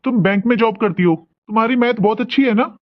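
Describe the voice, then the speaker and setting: A woman playing a patient, measured, insistent questioner in a cartoon comedy skit. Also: fairly high pitch, highs cut off, natural voice. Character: patient questioner posing a trick riddle.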